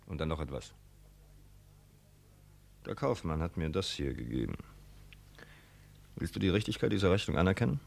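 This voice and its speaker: An actor in a German radio play, playing a grasping, mocking farm heir with a sarcastic, self-righteous, menacing way of talking. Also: male voice, low voice, very animated, moderate pace, gravelly, pauses often, exaggerated voice.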